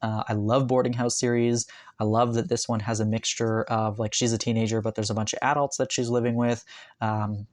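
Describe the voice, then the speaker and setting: A man in a bedroom chats about his new books, halting often on ums and uhs, then picking up with excitement. His voice sits at an ordinary middle pitch. The sound is dry, with almost no room echo. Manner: rambling, many ums and uhs, enthusiastic. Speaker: manga collector vlogger. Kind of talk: casual haul video talk. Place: bedroom, indoors.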